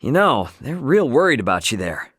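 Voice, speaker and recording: A man's voice: mid-range voice, sing-song, normal speed, a bit bright, slightly rough, no echo, studio quality. A man voicing an easygoing, friendly young trader and adventurer in a video game, with a casual, folksy delivery. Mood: upbeat, reassuring.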